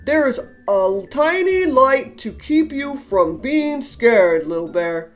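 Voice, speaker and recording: A big, patient, slightly weary male bear from a children's storybook, voiced by a woman. He speaks slowly in drawn-out phrases with wide, swooping intonation, over soft music. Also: sits high, lively intonation. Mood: reassuring. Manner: patient, drawn-out questions and reassurances. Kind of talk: storybook character read-aloud.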